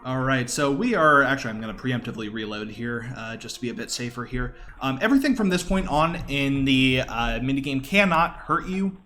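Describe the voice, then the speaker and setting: A man's voice: medium pitch, expressive, a little fast, few pauses, bright, forceful tone, slight background noise. A man giving casual live commentary as a speedrunner, explaining informally with wry asides.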